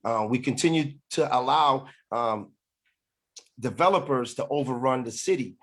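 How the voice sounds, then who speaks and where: A middle-aged man gives a campaign speech over a video meeting. His voice sits at an average pitch. He speaks haltingly, pausing often and repeating phrases as he makes his case as a candidate. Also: a bit bright. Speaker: political candidate.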